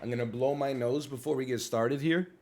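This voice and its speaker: A man's voice: mid-range voice, fairly quick, a little mellow. Congested young Canadian man rambling on stream. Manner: rambling, self-correcting, jokey asides. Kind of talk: casual chat.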